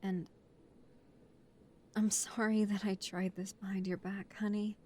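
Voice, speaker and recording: Woman acting as a slow-spoken, devoted mafia recruit. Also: mid-range voice, little pitch movement, mellow, close to an everyday voice. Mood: apologetic. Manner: earnest, emotional, polite to superiors. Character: devoted young woman, new mafia recruit, earnest, loving partner. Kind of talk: romantic audio roleplay.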